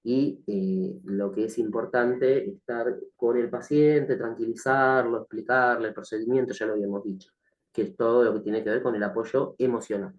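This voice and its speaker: A man gives a recorded nursing lecture at a mid pitch. He sounds a bit hoarse from the flu and talks hesitantly, filling pauses and checking in with his listeners. The audio is dull and lo-fi. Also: steady tone. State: has a cough from the flu. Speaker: nursing instructor.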